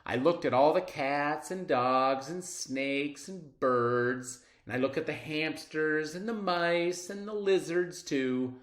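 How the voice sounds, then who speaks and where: A man reading a children's story aloud expressively, with dramatic pauses and drawn-out words. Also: fairly high pitch, a bit bright. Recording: roomy, echoing sound.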